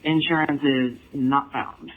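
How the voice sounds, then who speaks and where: A man's voice: medium pitch, steady tone, takes it slowly. An American man working as a police dispatcher, reading out records procedurally over the radio. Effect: police radio transmission. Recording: narrow phone-like police scanner radio.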